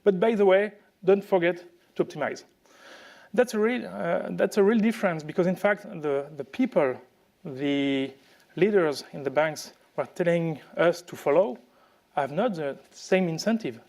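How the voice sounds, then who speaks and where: A man from France with a French accent, giving a conference presentation in a halting way with many fillers and restarts. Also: high-pitched, gravelly, a little mellow. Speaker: conference speaker.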